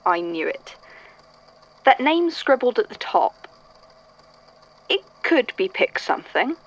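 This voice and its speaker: A woman's voice: on the high side, very animated, takes it slowly, dull audio, heavily acted. A woman playing an archivist in a fan audio drama, reading old supernatural statements and thinking aloud. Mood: intrigued, musing.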